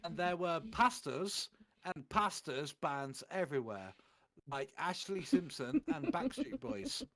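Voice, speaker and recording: A man's voice: on the high side, big pitch swings, slow, fairly bright tone. A man doing a theatrical, comedic reading of amateur fan fiction, putting on voices for the quotes.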